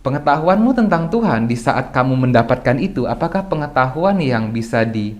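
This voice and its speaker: A young adult man, a podcast guest, talks through ideas in a loose, reflective way, asking himself rhetorical questions and often clearing his throat. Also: medium pitch, big pitch swings, moderate pace, a little mellow. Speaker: guest speaker. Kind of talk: casual podcast discussion.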